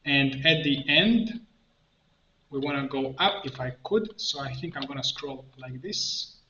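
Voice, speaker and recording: A man presenting an improvised software tutorial, thinking aloud haltingly as he works at a computer. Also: mid-range voice. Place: indoor room at a computer. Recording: dull, echoey room, clear background noise. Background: keyboard typing and mouse clicks.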